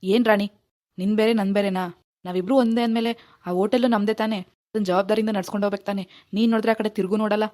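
A woman's voice: mid-range voice, fairly even intonation, a little mellow, pitched below the performer's own voice, natural voice. A woman voicing a put-upon young husband in a domestic drama, pleading and complaining. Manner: pleading, complaining, quick to give in. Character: put-upon young husband running a small tea stall. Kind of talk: amateur domestic drama acting.

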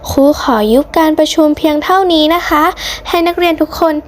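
A woman's voice: very high voice, fairly even intonation, a little fast, a little hiss or hum. A woman voicing an anxious, bossy female schoolteacher in a cartoon story, emphatic and insistent. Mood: grateful. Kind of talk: hobby cartoon story dubbing.

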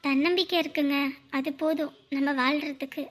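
A woman playing a shy, earnest young woman in love in a romantic drama, speaking softly and hesitantly. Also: high voice, fairly even intonation, unhurried, background noise. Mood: quietly earnest. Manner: soft, hesitant. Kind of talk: romantic story drama acting.

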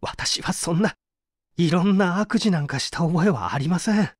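A man's voice: high-pitched, lively intonation, normal speed, dry recording. A man playing an evasive, subdued painter who is under interrogation, hesitant and confessional, in a dramatised mystery reading. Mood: confused, pleading.

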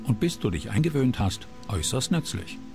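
A man's voice: mid-range voice, big pitch swings, normal speed, creaky. An actor playing a polite but weary town mapmaker in a video game, talking like a matter-of-fact salesman. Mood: businesslike. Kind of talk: video game character dialogue. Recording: music under the voice.

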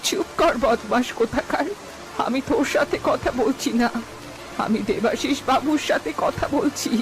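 A woman's voice: high-pitched, moderate pace, soft background music, natural voice. A woman playing a poor, worried old village mother in a cartoon, pleading and lamenting. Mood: angry scolding. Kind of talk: Bengali fairy-tale cartoon dialogue.

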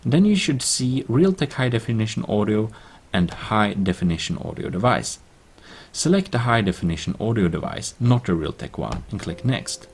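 A man giving a plain-spoken, step-by-step software tutorial as a voice-over. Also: on the low side, very animated, moderate pace, slight background noise. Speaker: tutorial presenter. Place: voice-over screen recording.

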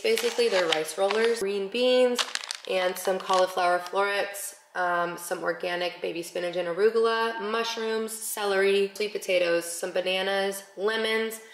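A woman's voice: on the low side, a bit bright. A woman in her twenties chatting casually to camera in a daily vlog, upbeat and full of "like". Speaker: vlogger. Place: large bright home interior. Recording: roomy echo, faint noise.

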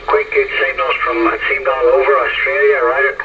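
A man's voice: sits high, wildly swooping pitch, fairly quick, gravelly. A man chatting casually as an amateur radio operator, heard through a radio transmission. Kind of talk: casual radio contact chat. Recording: dull noisy shortwave radio reception.

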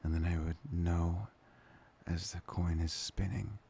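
A man playing a tender, playful boyfriend in an intimate ASMR roleplay, breathy and often slipping into a whisper. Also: deep voice, level tone, unhurried, slight background noise. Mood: musing, playful. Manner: hesitant, intimate, soft-spoken. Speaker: ASMR voice performer.

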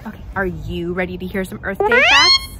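A woman's voice: mid-range voice, wildly swooping pitch, brisk, over loud music. A woman in her thirties presenting a playful children's puppet show, talking in an exaggerated, sing-song, encouraging way as if to small children.

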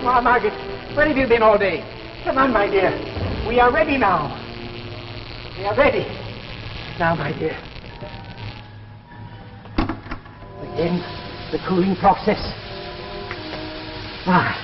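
An actor in his sixties playing a frail, obsessive old inventor father in 1950s television drama, with a halting, theatrical delivery. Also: sits high, animated. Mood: anxious. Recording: muffled old TV soundtrack with music.

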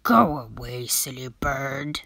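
A woman voicing a grumpy, reluctant male monster from a picture book, muttering threats in a deliberate grumble. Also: very deep voice, animated, sharp tone, dry recording, full character voice. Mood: dismissive. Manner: grumbling, deliberate, whispers and bellows.

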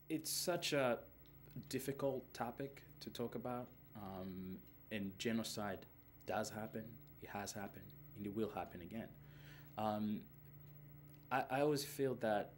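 A man in his thirties from Rwanda with a very low voice talks through interview answers slowly and earnestly, stopping often on fillers and restarts. A clear hiss of room noise sits under his voice. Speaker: filmmaker, interviewee. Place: indoors, seated in front of a film poster.

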